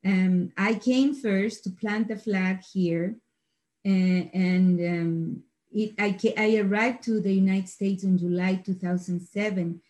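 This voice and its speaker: A woman from Ecuador, telling her story in an interview in a halting, self-correcting way. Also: medium pitch, steady tone, darkish tone. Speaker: guest, financial education instructor.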